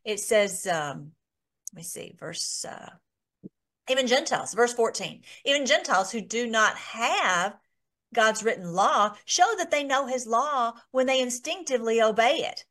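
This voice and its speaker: A woman's voice: on the high side, expressive, measured pace, a bit bright. A woman hosting an informal online Bible study, talking in a rambling way with many restarts and fillers.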